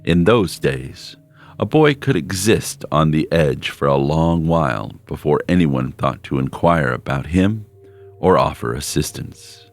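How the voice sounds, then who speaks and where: A man reading a literary short story aloud in measured, unhurried scripted narration, with slowed phrases. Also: a bass voice, lively intonation. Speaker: story reader. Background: background score music.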